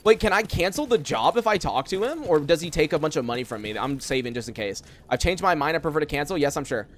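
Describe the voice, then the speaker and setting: A man's voice: on the high side, expressive, fast, slight background noise. A young man streaming a game, talking casually and rambling with lots of fillers as he plays and answers chat from a room at home. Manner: rambling, filler-heavy, chatty. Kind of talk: casual live gameplay chat.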